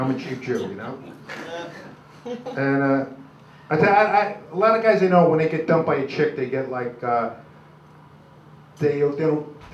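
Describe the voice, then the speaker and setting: An older man with a New York accent does halting, self-deprecating, rambling stand-up comedy. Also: a little high, darkish tone, far from the mic. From Brooklyn, New York, USA. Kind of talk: live stand-up comedy, crude jokes. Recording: dull, noisy, echoey club recording from afar.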